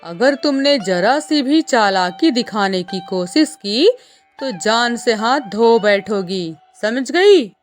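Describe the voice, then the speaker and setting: A woman plays a bossy male vulture in a cartoon tale. He speaks sternly and commandingly, at a measured pace, with music behind. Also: on the high side, expressive. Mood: angry, threatening. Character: bossy, threatening male vulture, a demanding customer. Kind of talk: children's cartoon story voicing.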